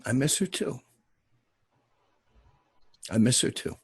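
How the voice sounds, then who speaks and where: An earnest, fatherly figure in a television drama, read by a man. His husky voice rises and falls widely, halting and then pressing each point as he consoles. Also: mid-range voice, animated, dry recording, exaggerated voice. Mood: tender, wistful.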